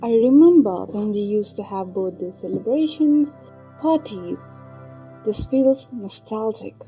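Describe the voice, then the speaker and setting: A woman's voice: medium pitch, lively intonation, a little slow, poor audio. A woman acting a devoted, caring woman in love in an amateur audio drama. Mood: nostalgic. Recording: background music under the voice. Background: soft new-age music.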